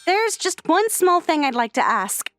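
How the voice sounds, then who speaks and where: A woman playing a poised, sheltered high school girl in an anime-style comic dub, speaking with composed, polite earnestness. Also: very animated, unhurried, fairly bright tone, dry recording, studio quality, soft background music, exaggerated voice. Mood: earnest.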